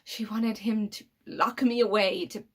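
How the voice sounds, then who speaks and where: A woman performing a dramatic monologue as an embittered, coldly superior killer with psychic powers, pausing heavily and dropping into breathy whispers. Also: medium pitch, steady tone. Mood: bitter, accusing. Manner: slow dramatic pauses, sinking into breathy whispers.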